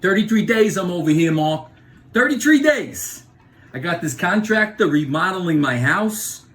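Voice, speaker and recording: A man's voice: sits high, lively intonation, moderate pace, a bit bright, pitched above the performer's own voice. A man voicing his angry middle-aged friend from the Bronx, brash and swearing, with a Bronx New York accent. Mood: furious.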